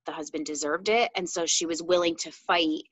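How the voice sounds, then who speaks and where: A woman's voice: deep voice, steady tone, brisk, dry recording. A woman co-hosting a book discussion in a casual, candid, conversational way, often peppering her talk with "like". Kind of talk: casual chat.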